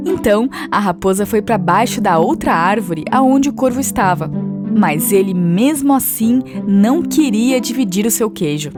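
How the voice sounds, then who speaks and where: A woman's voice: medium pitch, animated, normal speed. A woman with a Brazilian accent reading a scripted children's fable as a storyteller. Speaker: narrator. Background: instrumental music.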